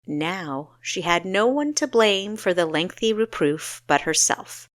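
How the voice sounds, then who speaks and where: Female audiobook narrator, lowish pitch, measured expressive storytelling, fantasy prose, dry close sound. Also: dry recording.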